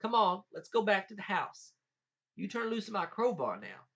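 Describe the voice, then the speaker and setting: A man voicing a big, gullible old giant in a folktale, with an Appalachian accent and folksy, drawn-out protests. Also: sits high, big pitch swings, a bit bright, highs cut off, voice pushed up, exaggerated voice. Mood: grudging. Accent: Appalachian American.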